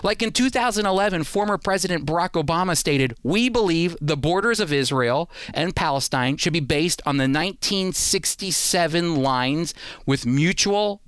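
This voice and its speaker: A man's voice: a little high, a bit bright, clear, resonant tone, dry recording. An American man, middle-aged, hosting an explanatory radio talk in an emphatic manner, addressing listeners directly as friends. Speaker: radio show host.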